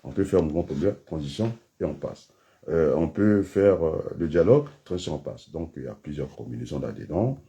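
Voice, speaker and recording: A man giving a rambling political monologue, hesitant with many fillers, then bursting into emphatic high outbursts. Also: lively intonation, a little fast, mellow. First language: French. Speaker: political commentator.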